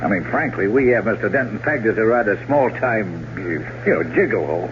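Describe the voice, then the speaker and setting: Actor as a low, animated, folksy police lieutenant. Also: male voice, moderate pace, close to an everyday voice. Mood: probing. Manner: hesitant, folksy, apologetic yet probing. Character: plain-spoken, tactful but probing police lieutenant. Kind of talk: 1970s radio drama acting. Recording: muffled, faintly noisy old radio broadcast.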